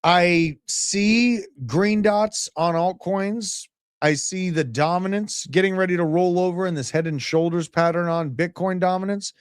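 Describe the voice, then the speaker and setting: A man in his thirties hosting a livestream, giving brash, animated market commentary and chatting with live viewers. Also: high-pitched, measured pace, clear, resonant tone, no echo, clean studio sound. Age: 30s. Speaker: crypto livestream host.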